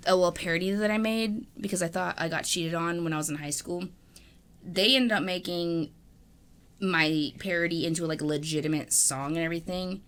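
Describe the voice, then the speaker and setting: A woman's voice: on the low side, a bit bright, smooth. A young woman co-hosting a podcast, rambling in casual chat with lots of fillers and swearing. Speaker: podcast co-host.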